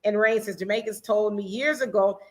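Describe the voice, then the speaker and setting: A middle-aged American woman hosting a casual chat, reading viewer comments aloud in an animated way. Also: mid-range voice, fairly quick. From United States. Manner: animated, laughs often, reads comments aloud. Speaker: host.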